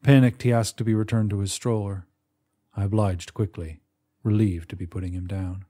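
Mellow-voiced man narrating a story. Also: fairly low pitch, fairly even intonation, normal speed. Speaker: audiobook narrator. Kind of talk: scripted first-person short story narration.

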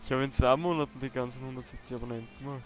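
A man's voice: mid-range voice, steady tone, very fast. Casual male streamer, regional German dialect, easygoing, music in the background. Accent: dialect-tinged German. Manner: laid-back, chuckling, spells out his name. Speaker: streamer guest on a call. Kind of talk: casual gaming chat.

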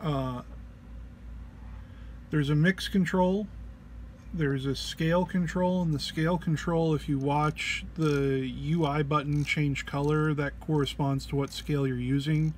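A man who is under the weather gives an informal tutorial walk-through, explaining haltingly and hesitantly. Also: fairly high pitch, fairly even intonation, slight background noise. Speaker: presenter of a gear walk-through.

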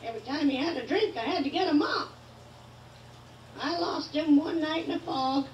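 A woman playing a theatrical witch who tells spooky puns in a drawn-out joke delivery, like a Halloween prop. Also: high voice, lively intonation, distant mic, muffled recording. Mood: playful. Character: cackling female witch telling spooky puns, slow and theatrical. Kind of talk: Halloween prop comedy recording. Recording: roomy playback from afar, background noise.

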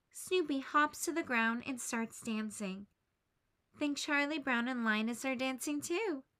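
A woman with a high voice reads a children's picture book aloud. She narrates gently and expressively, like a teacher. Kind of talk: read-aloud storybook narration.

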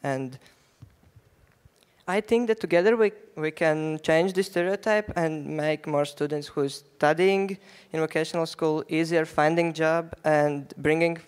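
Latvian-accented teenage boy, clear voice. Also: fairly high pitch. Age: teens. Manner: halting non-native phrasing with fillers. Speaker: youth delegate. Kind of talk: prepared panel speech. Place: large conference hall stage. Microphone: handheld mic.

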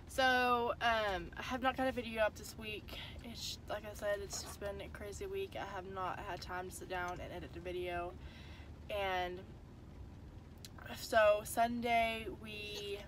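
A woman's voice: mid-range voice, fairly bright tone, a little hiss or hum. A young woman, congested from a cold, chatting to a phone camera held at arm's length in a car, tired and rambling. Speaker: family vlogger. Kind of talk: casual chat to camera. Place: inside a parked car.

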